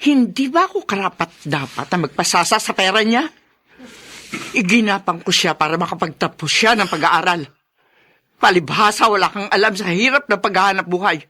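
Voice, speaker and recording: A woman's voice: medium pitch, animated, moderate pace, sharp tone. An actress playing a selfish, middle-aged wife and mother in a radio drama, defiant and scornful. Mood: scornful defiance.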